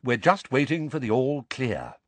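A man voicing a weary, kindly young male wizard with a calm, gentle manner in an audiobook. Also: mid-range voice, moderate pace, no room sound, pitched above the performer's own voice. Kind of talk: audiobook character voice.

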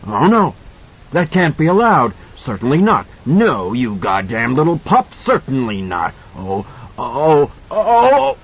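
A man's voice: huge pitch swings, unhurried, breathy edge, highs cut off, full character voice. A man voicing a sinister monstrous thing in a man's shape that taunts in a menacing whisper. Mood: panicked. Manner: mocking, menacing whisper turning to shrill panic.

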